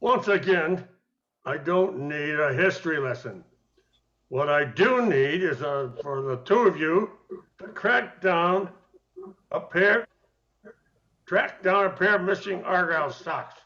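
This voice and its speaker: Through slightly dull, lo-fi sound comes a rough older captain acted by a man in a radio play. His voice is high and forceful, and he talks brusquely, impatient and exacting. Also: slow pace, long pauses.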